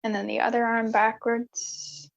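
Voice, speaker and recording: A woman yoga instructor guiding relaxation in a calm, soothing, unhurried way with long pauses, in a slightly dull recording. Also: fairly high pitch, creaky.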